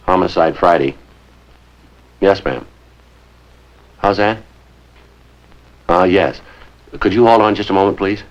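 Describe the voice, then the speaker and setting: An actor playing a terse, businesslike police sergeant in a 1950s crime drama, clipped and matter-of-fact. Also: male voice, low-pitched, slow pace, long pauses. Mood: deadpan, businesslike. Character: terse, businesslike male police sergeant narrating his case. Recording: dull vintage 1950s soundtrack, music behind.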